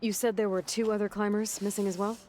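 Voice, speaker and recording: A woman acting a self-reliant young warrior heroine in a video game. She has a medium-pitched voice and speaks directly, with quiet resolve. Faint ambient noise sits behind her. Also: flat delivery, fast, natural voice. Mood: resolute.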